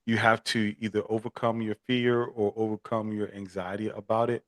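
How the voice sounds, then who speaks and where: Earnest man from Miami, low voice, dry close recording, podcast guest. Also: flat delivery, normal speed, no room sound. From Miami, Florida. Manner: earnest, repeats words when starting sentences. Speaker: podcast guest, equine therapy expert. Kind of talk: casual interview talk.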